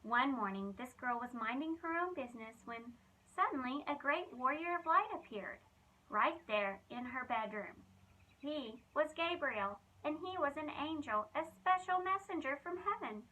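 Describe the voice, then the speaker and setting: A woman with a high, bright voice reads a storybook aloud for children. She tells it expressively, leaning on key phrases with dramatic emphasis, like a children's ministry reader. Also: lively intonation, moderate pace, rough recording.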